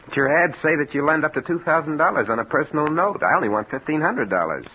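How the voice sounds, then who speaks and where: An actor playing an urgent, devoted working-class husband in 1950s radio drama style, on a muffled, hissy old recording. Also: male voice, a little high, big pitch swings, quick pace. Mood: desperate, pleading. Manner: urgent, insistent, colloquial.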